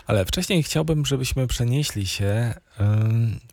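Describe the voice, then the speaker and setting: A male radio host talks in a conversational interview style. His pitch is average and the studio sound is very dry. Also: measured pace, a little mellow, no echo.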